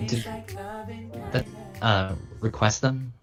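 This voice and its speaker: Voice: medium pitch, slow pace, soft background music. A hesitant panelist asking and answering casually in a group chat.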